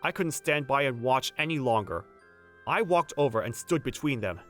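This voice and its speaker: Guy doing earnest young anime hero telling his story, lively delivery, protective type. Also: mid-range voice, moderate pace, soft background music, close to an everyday voice. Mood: steady narration. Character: earnest young male manager, protective romantic-comedy hero. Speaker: narrator and lead character. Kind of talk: scripted first-person narration and dialogue.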